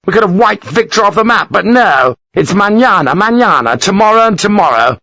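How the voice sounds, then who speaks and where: A man's voice: high-pitched, steady tone, fast, a bit bright, no room sound, voice pushed up. A man voicing a furious aristocratic British officer who rants and curses in a raised, strained voice. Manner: ranting, explosive, cursing.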